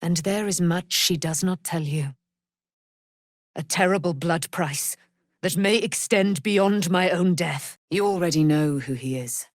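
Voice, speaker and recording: An actress playing a proud, wrathful immortal warrior, daughter of a moon goddess, declaiming in grand archaic speech for a fantasy game. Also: female voice, lowish voice, dry recording, clean studio sound. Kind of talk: theatrical fantasy game voice acting.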